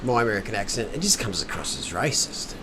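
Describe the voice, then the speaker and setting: A man's voice: on the low side, sing-song, fast. An Australian man commentating a sim race, chatting casually and telling rambling, jokey stories. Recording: noisy, with background hum.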